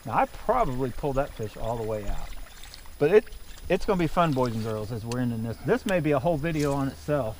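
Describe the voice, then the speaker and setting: Man, 40s, fishing channel host on a boat. Very lively pitch, excitable, outdoor camera audio. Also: fast, slight background noise. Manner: excitable, loud bursts when fish hit. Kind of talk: casual fishing commentary. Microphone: action camera on the boat.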